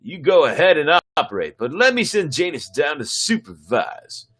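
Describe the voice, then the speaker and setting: A man's voice: fairly high pitch, very animated, normal speed, fairly bright tone, breathy edge, heavily acted. A man playing a stern, sardonic starship captain with a dry, commanding manner in a sci-fi story reading. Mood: businesslike.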